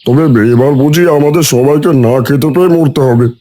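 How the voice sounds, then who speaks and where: A man's voice: on the high side, brisk, warm tone, lightly acted. A man doing a worried cartoon villager. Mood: anxious. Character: anxious young village man bringing news. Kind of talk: Bengali cartoon dubbing.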